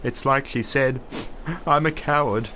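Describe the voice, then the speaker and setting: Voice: mid-range voice, measured pace, pushed and bright, a little hiss or hum. An actor in an audio drama playing a cowardly, tearful talking lion, whimpering and pleading hesitantly. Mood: tearful, timid.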